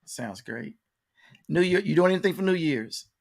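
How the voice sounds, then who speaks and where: Male interview host, higher-pitched voice, informal and conversational, repeats phrases and addresses the audience directly. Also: animated, moderate pace, creaky, a little mellow. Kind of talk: casual conversational interview hosting.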